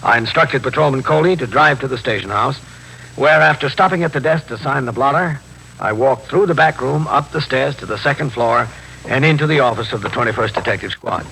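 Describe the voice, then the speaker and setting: Crisp, authoritative police captain. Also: male voice, medium pitch, fairly quick, sharp tone. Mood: measured, official. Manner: clipped, formal, matter-of-fact questioning. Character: businesslike middle-aged male police captain, measured and authoritative. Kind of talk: 1950s radio drama narration and dialogue. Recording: noisy 1950s radio recording.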